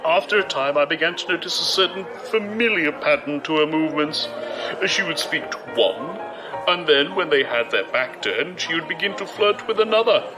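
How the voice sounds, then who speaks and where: An actor playing a deferential manservant to a noblewoman in an audio drama, formal, measured and dry. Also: on the high side, lively intonation, music in the background, close to an everyday voice, low-quality recording.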